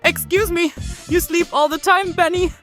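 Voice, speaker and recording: A woman's voice: very high voice, fairly even intonation, moderate pace, a bit bright, faint music behind. A woman voicing an overworked, sarcastic puppet colleague with a sardonic drawl. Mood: indignant.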